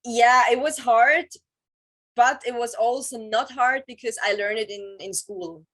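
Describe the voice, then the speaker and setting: A woman's voice: on the high side, a bit bright. A woman in her thirties from Switzerland with a Swiss German accent, whose first language is Swiss German, speaking hesitantly in English as an interview guest. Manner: hesitant, many "um" pauses, searching for words. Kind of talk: casual interview over video call.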